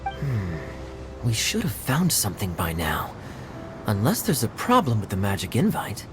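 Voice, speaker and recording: A man playing a suave, teasing, quick-witted young adventurer in a video game cutscene, wry and deliberate. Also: fairly low pitch, wildly swooping pitch. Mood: pondering. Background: background music.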